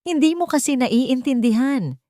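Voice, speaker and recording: A woman's voice: sits high, animated, a little slow, dark tone, no room sound, voice pushed up. A woman storyteller plays a husband in a family drama story. He is defensive and angry, sounding burdened by pressure. The sound is dry and close. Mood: angry, frustrated.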